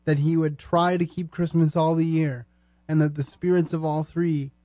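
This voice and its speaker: A man hosting a podcast in an earnest, conversational style, speaking sincerely in measured short phrases. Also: on the high side, flat delivery, mellow, no room sound. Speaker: podcast host.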